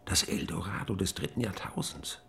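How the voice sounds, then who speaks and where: An actor playing a composed, visionary brain doctor, speaking in a measured, solemn, persuasive way in a radio drama. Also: male voice, sits low, creaky, sharp tone, a little hiss or hum, full character voice. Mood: solemn persuasion. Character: composed, visionary male brain doctor coaxing his patient. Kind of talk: German radio drama acting.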